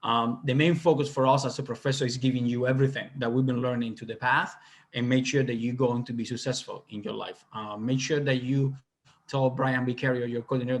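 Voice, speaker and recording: A man's voice: medium pitch, flat delivery, fairly quick. A man with a Cuban Spanish accent, a hospitality professor giving an enthusiastic welcoming speech in slightly broken English. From Cuba. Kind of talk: welcoming speech at online info session.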